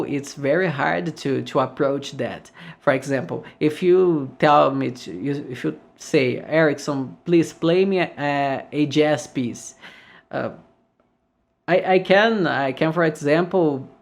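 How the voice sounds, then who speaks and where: A man from Brazil with a Brazilian Portuguese accent, a guest guitarist speaking English in a casual podcast interview and searching for his words. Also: a little high. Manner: halting, searching for words with many restarts.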